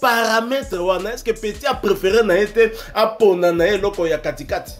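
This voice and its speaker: A man with a Congolese French accent co-hosting football talk, commenting with rhetorical questions and deliberate emphasis. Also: high-pitched, soft background music. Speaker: football show co-host. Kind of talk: sports talk, commenting on an interview.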